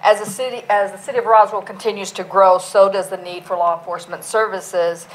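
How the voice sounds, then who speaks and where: A middle-aged woman, a police chief, gives a budget presentation in a council meeting room, speaking loudly and plainly with many fillers. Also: medium pitch, steady tone, a little fast, poor audio.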